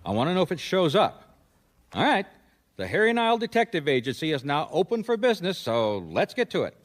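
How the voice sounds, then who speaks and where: An actor playing a wry, easygoing private detective with an American accent in a radio mystery, conversational and probing. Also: male voice, on the high side, sing-song, brisk, slight background noise. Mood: brisk. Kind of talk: radio mystery drama with first-person narration.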